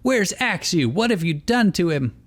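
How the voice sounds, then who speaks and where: A man's voice: sits high, very animated, moderate pace, voice pushed up. A man voicing a snappy, indignant young girl trainer, demanding and easily flustered, in a story reading. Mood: angry demanding.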